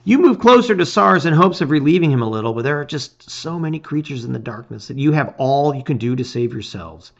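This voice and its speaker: Animated man reading a storybook dramatically. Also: fairly high pitch, fairly quick, gravelly, hardly any pauses, muffled recording. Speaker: storybook reader. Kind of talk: dramatic reading of a fantasy adventure.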